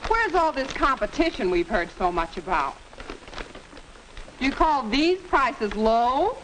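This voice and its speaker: An actress playing a skeptical, complaining shopper in a 1940s educational film. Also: female voice, high voice, big pitch swings, slow, a bit bright, full character voice. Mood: skeptical complaint. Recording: noisy, clicking 1940s film soundtrack.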